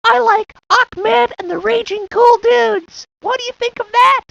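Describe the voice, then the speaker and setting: A woman's voice: very high voice, a little slow, gravelly, fairly bright tone, no room sound, exaggerated voice. A woman voicing an enthusiastic young boy who loves rock and roll in a cartoon video game. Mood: chatty, eager. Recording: muffled lo-fi game audio.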